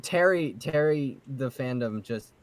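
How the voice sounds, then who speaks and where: A man hosting a casual group podcast, talking in an excitable, jokey way with some mild swearing. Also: medium pitch, unhurried, a crowd in the background, poor audio. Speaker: podcast host and amateur voice actor.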